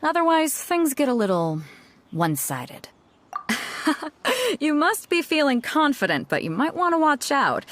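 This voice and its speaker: An actress playing a bold, easygoing tournament organizer in a video game, breezy, confident and persuasive. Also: female voice, on the high side. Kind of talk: English video game voice acting.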